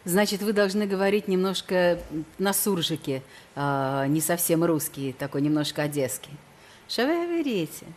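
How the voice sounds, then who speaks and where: A middle-aged woman hosting a television dating show, questioning a guest with quick, ironic teasing. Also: on the low side, very animated, darkish tone, slight background noise. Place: large television studio.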